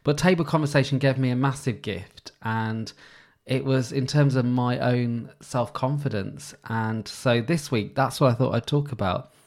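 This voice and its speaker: A man hosting his own podcast, talking alone in a calm, gentle and sincere way, with pauses and small restarts. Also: medium pitch. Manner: soft-spoken with frequent pauses and restarts. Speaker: podcast host. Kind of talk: solo reflective podcast talk.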